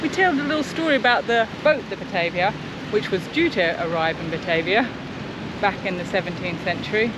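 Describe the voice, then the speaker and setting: A woman's voice: mid-range voice, fairly quick. A woman in her forties giving casual travel commentary outdoors as a vlogger. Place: outdoors on streets and at marina. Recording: noisy outdoor recording with street and vehicle sounds.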